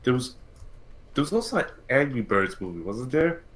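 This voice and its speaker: A man co-hosting a podcast, giving opinions in casual, halting speech peppered with "like". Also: medium pitch, sing-song, a bit bright. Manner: halting, heavy use of "like". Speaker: podcast co-host. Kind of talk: casual chat, opinionated commentary.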